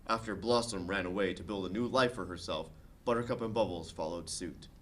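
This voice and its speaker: A man narrating the stage directions of a comedy screenplay at a table read, with expressive delivery. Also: sits low, a little fast.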